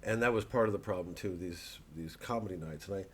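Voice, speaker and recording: Low-voiced man, rambling and wry. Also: fairly quick, slight background noise. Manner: rambling, digressive; wry, mock-earnest. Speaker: comedian. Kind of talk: casual vlog promoting a live show.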